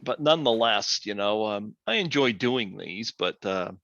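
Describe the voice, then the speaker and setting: A man's voice: lowish voice, animated, moderate pace, a bit bright. A man from Canada hosting a podcast, chatting casually with a guest and hesitating with many fillers. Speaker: podcast host. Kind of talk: casual interview chat. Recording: dry, dull lo-fi sound.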